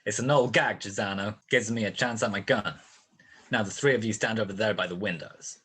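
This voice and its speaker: Man acting a low-voiced, wry hard-boiled detective. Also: a little fast, noisy background, close to an everyday voice. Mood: triumphant. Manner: sardonic, wisecracking. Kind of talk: 1940s radio detective acting.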